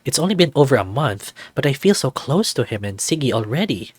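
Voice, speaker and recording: A man's voice: medium pitch, sing-song, brisk, a little mellow, slightly rough, no room sound. A man voicing an earnest, affectionate young male deer in a visual novel, sincere and plain-spoken. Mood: tender, reflective.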